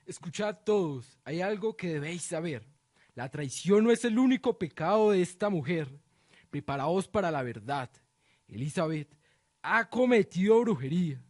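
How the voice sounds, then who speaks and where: A man's voice: sits high, expressive, moderate pace, a little mellow, dry recording, clean studio sound. A man playing a zealous, solemn accuser who rouses a village against a witch in a radio drama. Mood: denouncing. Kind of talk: Spanish-language radio drama acting.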